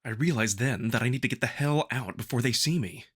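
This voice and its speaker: Male narrator, sharp bright tone, mid pitch, expressive first-person storytelling for a visual novel. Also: fairly quick, breathy edge. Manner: expressive, acting out the narrator's feelings. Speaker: narrator, amateur voice performer.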